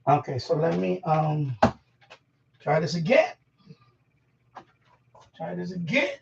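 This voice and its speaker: High-pitched gravelly man, rambling casually. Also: slow, background noise. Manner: informal, slangy, swearing, talking to himself while handling objects. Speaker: live stream host. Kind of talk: casual rambling live stream chat. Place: room at home being set up as a radio shack. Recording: dull lo-fi sound, distant from mic.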